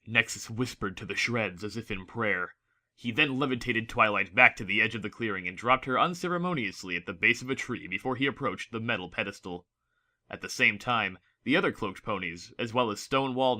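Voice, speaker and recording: A man's voice: on the low side, lively intonation, a little fast, sharp tone. A man narrating a fantasy story from a script in a dramatic audiobook style.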